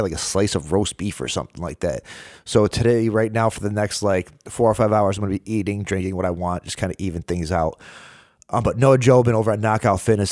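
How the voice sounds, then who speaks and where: A man's voice: fairly low pitch, dry recording, studio quality. A man in his thirties from the United States, telling stories as a podcast guest in casual chat, slangy and full of fillers. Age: 30s. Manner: animated storyteller, slangy, many fillers.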